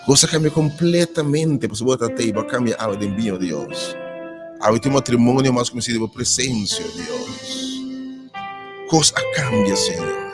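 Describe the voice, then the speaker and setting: Fervent preacher praying over music. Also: male voice, lively intonation, measured pace. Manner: impassioned, drops low and soft on "Senhor". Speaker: preacher leading prayer. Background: music playing.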